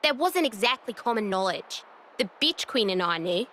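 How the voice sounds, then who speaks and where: A woman's voice: medium pitch, expressive, normal speed, fairly bright tone, slight background noise, lightly acted. A woman playing a brash, foul-mouthed, loyal young female fighter in a video game cutscene, with a cocky, blunt, slangy manner. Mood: cocky, teasing.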